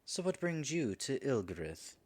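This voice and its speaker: A man's voice: medium pitch, sing-song, measured pace, breathy edge. A man voicing a flustered, flirtatious dragon in human form in an audio roleplay, stammering nervously and muttering asides to himself. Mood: charmed, curious. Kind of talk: audio roleplay addressed to the listener.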